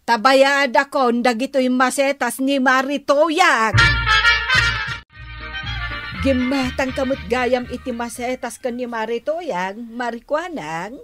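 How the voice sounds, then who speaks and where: A woman's voice: high-pitched, slow pace, over music. A woman playing a bossy village wife in an Ilocano comedy radio drama, with a drawling, exasperated manner.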